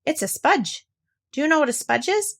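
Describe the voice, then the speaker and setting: A woman reader plays a little boy kangaroo in a storybook. His voice sits high and he exclaims eagerly, childlike and excitable. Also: moderate pace, vocal fry, exaggerated voice. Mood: excited. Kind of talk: children's storybook character reading.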